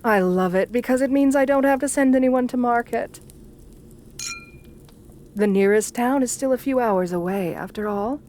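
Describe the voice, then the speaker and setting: Vampire countess, played by a woman for ASMR roleplay: higher-pitched female voice, genteel, seductive, assertive, doting. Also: moderate pace, long pauses, mellow, a little hiss or hum, close to an everyday voice. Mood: gracious, charming. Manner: genteel, doting, uses pet names. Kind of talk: ASMR roleplay addressed to the listener.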